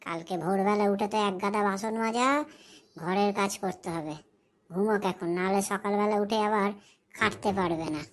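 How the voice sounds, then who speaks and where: A woman's voice: medium pitch, fairly even intonation, normal speed, lightly acted. A woman voicing a harsh, bossy aunt in a cartoon story, with a scolding, domineering manner. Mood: suspicious, grudging. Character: harsh, scolding, bossy aunt who overworks her niece.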